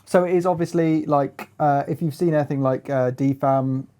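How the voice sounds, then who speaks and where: A man's voice: on the high side, steady tone, moderate pace, warm tone. A man presenting a hands-on music gear review in a casual chat, feeling his way through phrases with lots of hedging.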